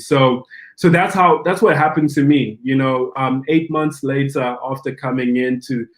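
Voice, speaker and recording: Young adult male pastor from Zimbabwe, middle-pitched voice, animated podcast storyteller who acts out quotes. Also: rough recording. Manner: animated, acts out quoted dialogue, frequent restarts.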